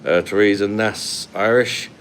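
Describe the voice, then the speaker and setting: Deep-voiced London man chatting on a phone. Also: steady tone, a little slow, a little hiss or hum. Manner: blunt and sweary, laughs often. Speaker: livestream host. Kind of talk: casual live chat reading comments. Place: hotel room at night, indoors. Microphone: phone held at arm's length.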